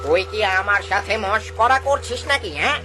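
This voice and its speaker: A bossy hunting chief of the jungle, acted out for a cartoon, impatient and quick to scold. The voice sits at a middle pitch, with clear noise of running water behind it. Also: expressive, natural voice. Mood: irritated, scolding. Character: grumpy, bossy predator chief who hunts in the jungle. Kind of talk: cartoon story dialogue.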